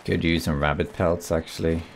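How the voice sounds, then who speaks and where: A man with a raspy voice, still getting over a cold, calmly commenting as he plays a survival game and thinks aloud. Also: sits low, moderate pace, a little hiss or hum. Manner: relaxed, thinking aloud while exploring. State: recovering from a cold. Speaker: gameplay commentator. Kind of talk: calm let's play commentary.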